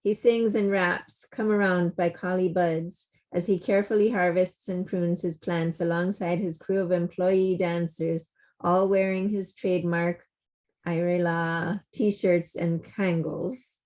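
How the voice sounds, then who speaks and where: A woman reading stage directions plainly and deliberately as narrator of a play table read. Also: fairly low pitch, fairly even intonation, a little mellow. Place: remote video call.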